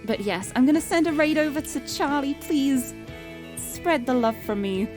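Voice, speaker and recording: A young woman streamer chatting casually and cheerfully, her voice getting a little hoarse and tired. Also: high voice, animated, moderate pace. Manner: bubbly, chatty, restarts words often. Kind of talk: casual live-stream chat. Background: background game music.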